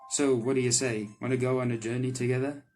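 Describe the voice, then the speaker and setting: A man plays a visual novel candy maker, blunt yet caring. The voice sits at a medium pitch with a dry, casual delivery. Soft music plays behind it. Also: little pitch movement, fast, close to an everyday voice.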